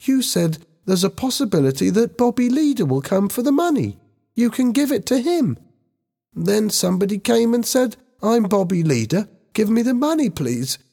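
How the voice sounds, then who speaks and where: Man voicing a high-pitched, naive young schoolboy. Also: sing-song, normal speed, creaky, dark tone, exaggerated voice. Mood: frightened. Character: frightened, naive young schoolboy in year eight.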